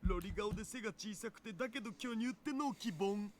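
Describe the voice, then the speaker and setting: An actor playing a goofy, lewd young nerd with a tender side in a Japanese visual novel. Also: male voice, high voice, rapid-fire, close to an everyday voice.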